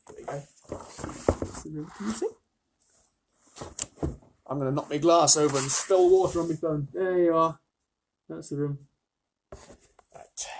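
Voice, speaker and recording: A man with a husky voice reading a children's story expressively, with chatty asides. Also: fairly high pitch, background noise.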